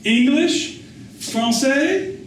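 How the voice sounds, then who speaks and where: A man's voice: very high-pitched, lively intonation, very slow, voice pushed up, heavily acted. Man voicing an eager, coaxing street boy, echoey. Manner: coaxing, clipped short phrases. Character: eager, insistent North African street boy on a moped. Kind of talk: acted quote within a literary reading. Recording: echoey room, distant mic, faint noise.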